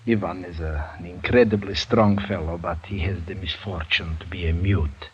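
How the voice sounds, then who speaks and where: Man acting aristocratic general, lowish male voice, measured, courtly, commanding, old radio drama, dull recording. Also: fairly even intonation, natural voice. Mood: coolly commanding. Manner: formal, measured, gracious.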